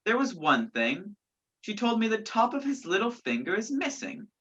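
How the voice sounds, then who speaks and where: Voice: medium pitch, very animated, moderate pace, fairly bright tone, slightly rough, highs cut off. A performer playing an earnest, anxious man on the run in a radio play, polite and hesitant. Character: earnest, anxious male fugitive posing as job seeker.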